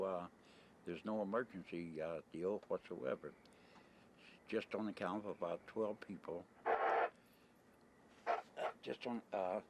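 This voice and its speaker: Low-voiced older American man, rambling. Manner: rambling, blunt, plain-spoken, mildly profane. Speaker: interviewee, retired merchant seaman. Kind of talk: casual spoken interview. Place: on location at a desert camp, ambient noise. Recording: noisy 1990 amateur videotape sound.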